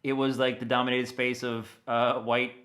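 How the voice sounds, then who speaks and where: An American man talking casually and enthusiastically as an interview guest, animated and given to rambling asides. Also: mid-range voice, normal speed. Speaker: interview guest, audio dramatist.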